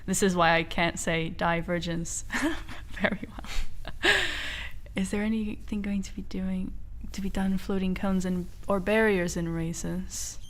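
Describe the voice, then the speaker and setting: A woman's voice: on the low side, steady tone, measured pace. A woman who grew up in Portugal, English not her first language, reading viewer questions aloud and answering them plainly on a game developer livestream. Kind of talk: casual livestream Q&A.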